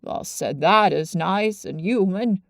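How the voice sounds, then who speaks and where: A woman voicing a sour, blunt old Yorkshire gardener with slow, grumbling dialect speech. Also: mid-range voice, creaky, dry recording, studio quality. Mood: gruff.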